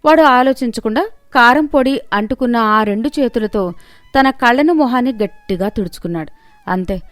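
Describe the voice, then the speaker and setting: Higher-pitched woman narrating a scripted story. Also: dry recording.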